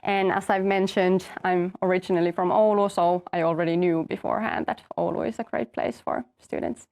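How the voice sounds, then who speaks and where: Gravelly-toned young woman student. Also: on the low side, fairly even intonation, fairly quick, darkish tone. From Finland. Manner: orderly, explanatory, light fillers. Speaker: master's student. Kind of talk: answering questions in an info session.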